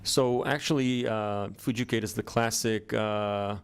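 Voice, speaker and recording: A middle-aged man with an American accent, a startup founder answering interview questions in a measured way with many ums and uhs. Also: fairly low pitch, fairly even intonation, clean tone. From born in Israel, grew up in the US.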